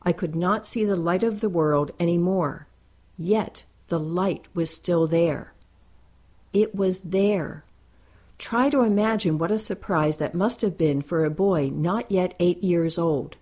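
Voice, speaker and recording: Low-voiced woman reading an essay. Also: a little slow, darkish tone. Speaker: reader. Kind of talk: reading a first-person essay aloud.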